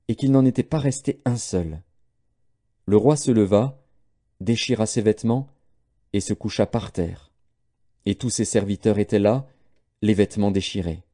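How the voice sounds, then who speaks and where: A man reading scripture aloud as scripted audiobook narration, with a dark, mellow tone. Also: mid-range voice. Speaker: audiobook Bible reader.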